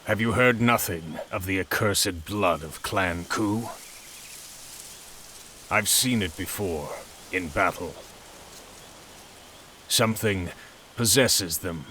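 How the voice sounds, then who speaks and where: A man's voice: low voice, takes it slowly, long pauses, sharp tone, slight background noise, exaggerated voice. A man playing a proud, battle-hardened general in a video game, formal and weighty in manner. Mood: grave. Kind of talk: video game cutscene acting.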